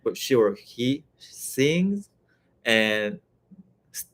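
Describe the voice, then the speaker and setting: A young man with a Korean accent co-hosting a livestream, speaking slowly in English and searching for words. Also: mid-range voice, sing-song, a bit bright. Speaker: livestream co-host. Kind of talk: casual live chat.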